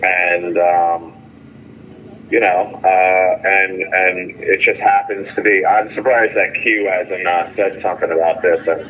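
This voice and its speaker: A man's voice: low-pitched, level tone, measured pace. Man on a tinny, noisy phone line. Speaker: jailed caller. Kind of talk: casual phone update to a vigil. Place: jail phone call. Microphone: telephone.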